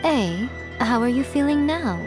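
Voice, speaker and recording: A woman's voice: high-pitched, animated, over music. A woman playing an elegant, sly fox shrine maiden in a video game, playful and wry. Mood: caring. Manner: playful, self-assured, wry, drawn-out phrasing. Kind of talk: English video game voice acting.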